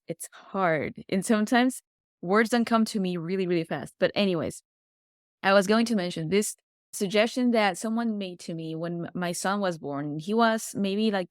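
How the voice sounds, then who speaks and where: A woman's voice: medium pitch, no room sound, clean studio sound. A woman whose first language is Spanish, a podcast guest chatting casually and sometimes searching for words. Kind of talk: casual interview chat.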